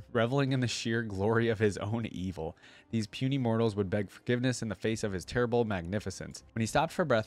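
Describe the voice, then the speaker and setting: Low-voiced young man reading aloud. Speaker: reaction host. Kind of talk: reading a story aloud with casual commentary. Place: indoor room at a desk. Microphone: close desk microphone on a boom arm.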